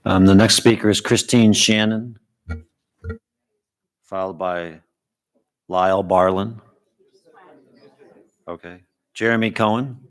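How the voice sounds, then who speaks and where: Deep, slow man calling speakers. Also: fairly even intonation, rough recording. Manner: brief, procedural. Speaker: meeting chair calling speakers. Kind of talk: announcing the next speakers. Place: town hall meeting room.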